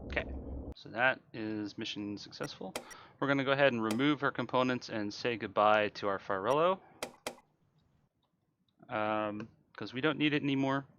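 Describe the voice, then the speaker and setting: A man giving casual live commentary on his own video game speedrun, explaining in a halting, wryly understated way. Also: medium pitch, lo-fi sound, slight background noise. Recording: loud game music behind the voice.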